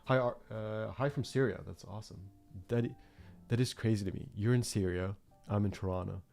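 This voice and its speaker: Man from Norway, young adult, low voice, rambling livestream chat, faint guitar music behind. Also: fairly quick, vocal fry, darkish tone. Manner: rambling, reflective, many fillers and restarts. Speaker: art streamer. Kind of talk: casual chat while drawing on a livestream.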